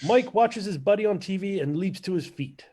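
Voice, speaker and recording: High-voiced man reading stage directions. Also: animated, normal speed, dark tone. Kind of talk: scripted narration at table read.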